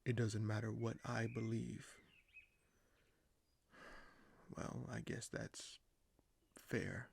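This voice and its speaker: An actor playing a scheming, courteous young king in an audio roleplay, speaking formally and hesitantly with deliberate pauses. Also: lowish voice, level tone, vocal fry, a little hiss or hum, full character voice. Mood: confused. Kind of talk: audio roleplay acting, addressed to the listener.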